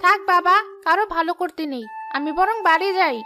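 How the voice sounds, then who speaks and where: Very high-pitched nagging village auntie. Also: female voice, a little fast, bright, forceful tone, soft background music. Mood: huffy. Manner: nagging, busybody. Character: meddling, gossipy village auntie, easily offended. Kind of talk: cartoon dialogue.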